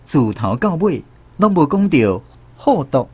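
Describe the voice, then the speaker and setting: A man's voice: a little high, very animated, unhurried, a little mellow, breathy edge. A man with a Taiwanese accent narrating a first-person story expressively for radio drama.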